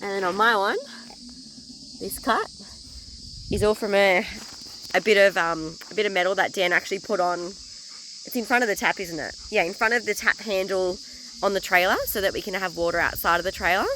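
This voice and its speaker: A woman's voice: medium pitch, moderate pace, long pauses, sharp tone, slight background noise, rough recording. A woman with an Australian accent chatting frankly to camera about her caravan trip, rambling a little and losing her voice from illness. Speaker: travel vlogger. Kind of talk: casual chat to camera.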